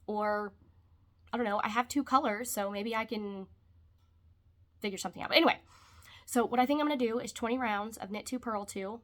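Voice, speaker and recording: A woman's voice: on the high side, fairly quick, long pauses. A young adult woman vlogging from her couch at home, congested, rambling in casual chat. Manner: rambling, chatty, trailing off into quiet asides.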